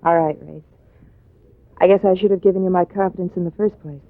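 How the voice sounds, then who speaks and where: A woman's voice: lowish voice, steady tone, a little fast, dry recording, natural voice. A woman acting an alluring, scheming femme fatale client in a 1950s radio drama, with a theatrical manner. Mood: confiding, afraid. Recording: muffled, hissy vintage radio recording.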